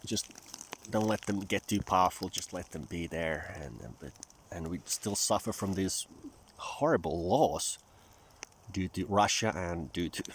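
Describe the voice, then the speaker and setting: A man's voice: sits low, expressive, measured pace, slight background noise. A man from Finland who speaks English with a Southern US-tinged accent, rambling casually and in a folksy, profane way in a vlog. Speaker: vlogger.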